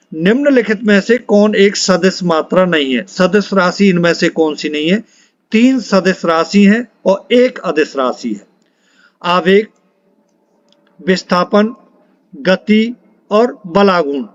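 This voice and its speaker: Male exam tutor, high pitch, instructional quiz read-out with repeated answers, dry close sound. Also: dry recording, muffled recording. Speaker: exam coaching teacher. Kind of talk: reading out multiple-choice quiz questions and answers to students.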